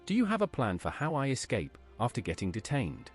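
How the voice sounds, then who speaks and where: Bold game adventurer voiced by a man: husky, very animated pitch, music underneath. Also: brisk. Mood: cool, inquisitive. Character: bold adventurer turned heretic preacher, cool and inquisitive. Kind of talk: AI-voiced video game player dialogue.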